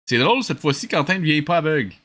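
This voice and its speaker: A man's voice: a little high, animated, very fast, heavy background noise. A man with a Quebec French accent giving casual, exasperated, sarcastic commentary with some swearing. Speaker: commentator mocking an old cartoon. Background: cartoon soundtrack music.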